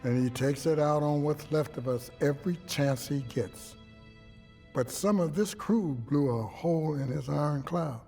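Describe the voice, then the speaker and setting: A shrewd outlaw crew leader in a cinematic game. His voice sits a little high for a man, articulate and deliberate, with music underneath. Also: vocal fry, warm tone. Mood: grave, measured. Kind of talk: cinematic video game acting.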